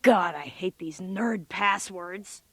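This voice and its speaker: A woman's voice: lowish voice, slow, no room sound. An actress playing a sardonic, exasperated female office computer worker in a comic radio drama, given to wry muttered asides.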